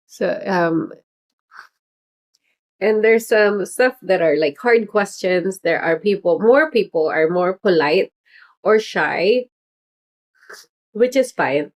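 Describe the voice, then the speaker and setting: A woman's voice: medium pitch, slow pace, long pauses. A middle-aged woman hosting a casual livestream as a political candidate, talking in a chatty, rambling way with lots of fillers and little laughs. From Philippines heritage.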